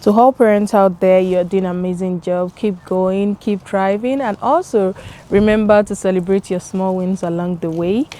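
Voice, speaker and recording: A woman's voice: medium pitch, fairly even intonation, moderate pace, dark tone. A woman, talking through a casual vlog in her home kitchen, chatty and encouraging. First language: Yoruba. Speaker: vlogger. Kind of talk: casual vlog talk to camera.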